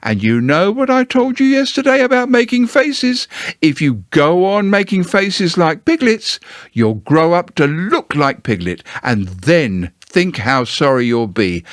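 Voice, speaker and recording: A man reading a storybook aloud and voicing a motherly female kangaroo who talks in a soothing but brisk, firm way. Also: fairly high pitch, no room sound, studio quality, pitched above the performer's own voice.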